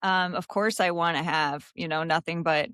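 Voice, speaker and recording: A woman's voice: on the low side, fairly even intonation, normal speed, a bit bright, smooth, no room sound, clean studio sound. A middle-aged woman hosting an interview, talking earnestly and encouragingly in long rambling sentences. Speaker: host and coach. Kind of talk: casual interview chat.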